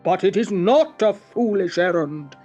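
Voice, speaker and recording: A woman's voice: medium pitch, lively intonation, unhurried, mellow, faint music behind. A woman voicing a brave, earnest male lion in a children's storybook, speaking emphatically.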